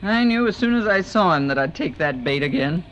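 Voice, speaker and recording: A woman's voice: fairly low pitch, lively intonation, a little fast, natural voice. A woman playing a blunt, lonesome diner keeper in a 1940s radio drama, with slangy, world-weary delivery. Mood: weary, wry. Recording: slightly noisy vintage radio recording.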